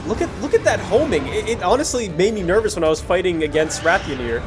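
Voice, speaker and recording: A man's voice: sits high, very animated, fast talker, music in the background. A man hosting a gaming video, giving casual, digressive commentary on gameplay in an apologetic manner. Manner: apologetic, digressive, often backtracking to earlier points. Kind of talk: casual gameplay commentary over recorded footage.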